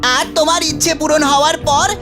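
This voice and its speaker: A woman voicing an eager little dwarf in a cartoon fairy tale, with a squeaky, sing-song delivery. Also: steady tone, normal speed, music in the background, close to an everyday voice. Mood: agreeable, instructive. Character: eager little cave dwarfs, bargaining for rice pudding.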